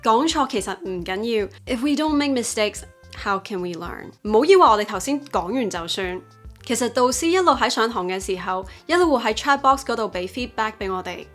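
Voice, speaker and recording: A woman's voice: fairly high pitch, expressive, fairly quick, soft background music. A young adult woman with a Hong Kong accent, narrating a vlog and switching between two languages. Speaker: host, English teaching YouTuber. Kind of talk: vlog narration mixing Cantonese and English.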